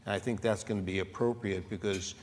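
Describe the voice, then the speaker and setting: A man with a very deep voice speaks as a venture investor on a conference panel. He explains his points steadily. A long hall reverb and faint background hiss surround him. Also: steady tone, fast talker, a little mellow.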